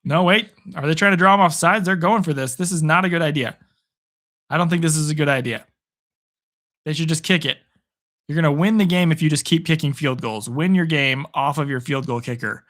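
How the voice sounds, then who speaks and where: Hoarse, chatty male sports streamer. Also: a little high, brisk, a bit bright. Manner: chatty, upbeat, reads viewer comments aloud. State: hoarse from hours of talking. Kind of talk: live play-by-play and chat reactions.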